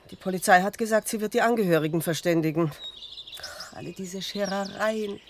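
An actress playing a fretful, chatty country innkeeper in a radio drama, confiding and plaintive. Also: female voice, medium pitch, brisk, slight background noise, lightly acted.

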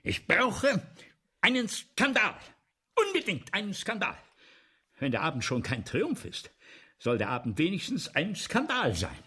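A gravelly, fretful playwright. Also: male voice, mid-range voice, pushed and bright, full character voice. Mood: agitated, demanding. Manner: fretful, declamatory, repeating himself. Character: agitated, self-pitying playwright, grandiose and paranoid before his premiere. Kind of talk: German radio play monologue.

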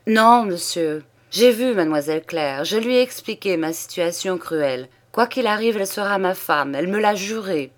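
A woman voicing a dignified young nobleman who answers in a steady, composed tone that hardens into quiet warning, read in an audiobook. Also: medium pitch, moderate pace, hardly any pauses, close to an everyday voice. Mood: calm, respectful. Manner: quiet, composed, turning firm and menacing. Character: calm, dignified young nobleman, unshakably firm.

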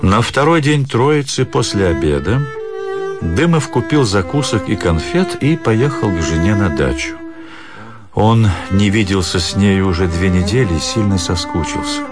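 A man's voice: fairly low pitch, lively intonation, moderate pace. A man narrating a literary audio drama as a scripted reading. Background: music.

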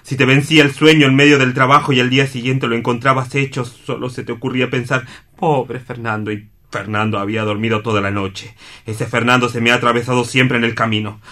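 A male actor plays an embittered brother in a radio drama, a man eaten by envy and old hurt. His mid-pitched voice is impassioned and self-pitying, full of reproach. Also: steady tone, fairly quick, pushed and bright, lightly acted. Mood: tormented, impassioned. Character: bitter, anguished younger man, envious overlooked brother. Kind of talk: radio theatre acting.

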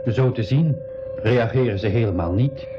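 A man's voice: medium pitch, moderate pace, music in the background, close to an everyday voice. An actor playing a cheeky, teasing boy in a school radio adventure. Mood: teasing, alert. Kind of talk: 1980s school radio drama acting. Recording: dull, noisy 1980s radio recording.

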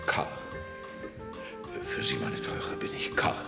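An actor playing a smarmy, pompous politician in a radio drama, with a theatrical, formal way of talking. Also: male voice, takes it slowly, roomy sound, music in the background, close to an everyday voice. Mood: flattering, amorous. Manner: theatrical, gushing, formal address. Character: smarmy, flattering male politician, pompous and amorous.

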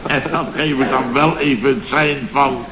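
An actor playing a boastful, blustering contractor boss in a radio comedy serial, telling stories in colloquial, indignant style. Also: male voice, medium pitch, steady tone, normal speed, over a noisy crowd, lightly acted. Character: blustering, self-satisfied male building contractor boss. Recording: dull, noisy old radio recording.

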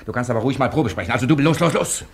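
A man acting an impatient director in a radio drama. He is bossy and sarcastic, switching between coaxing and mockery, in an ordinary mid-pitched male voice. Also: expressive, rapid-fire, lightly acted. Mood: coaxing, fed up. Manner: commanding, sarcastic, stop-and-start coaxing. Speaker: director character in a radio play.

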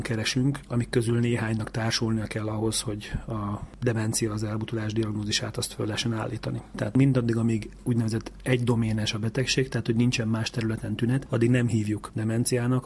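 Even-toned male neurologist, formal and technical. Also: lowish voice, slight background noise. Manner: formal, technical, long sentences. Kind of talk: expert explanation in an interview.

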